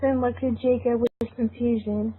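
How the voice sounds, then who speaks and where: High-voiced woman on a tinny call. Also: level tone, moderate pace, slightly rough. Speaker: narrator of a group fan-fiction reading. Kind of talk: scripted narration and casual chat. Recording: tinny narrowband voice call, faint noise, clicks.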